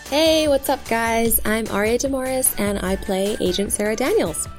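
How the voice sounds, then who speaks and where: A woman's voice: fairly high pitch, normal speed, faint music behind. A woman voice actor speaking casually to listeners as she introduces herself and her work. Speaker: voice actor and dialect coach.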